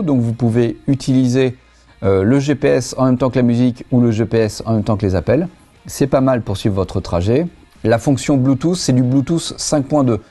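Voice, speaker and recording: A man talks through a product in a mellow, relaxed voice. He is chatty and explanatory, using everyday wording, with light music underneath. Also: medium pitch. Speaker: motorcycle gear reviewer, host. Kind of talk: casual product review to camera.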